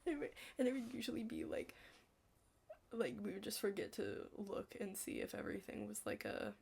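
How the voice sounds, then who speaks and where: A young woman chatting casually in an ASMR study roleplay, rambling and hesitant, hushed and slipping into whispers. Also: medium pitch, very animated, brisk, creaky, a little hiss or hum. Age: young adult. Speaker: ASMR creator playing a study partner.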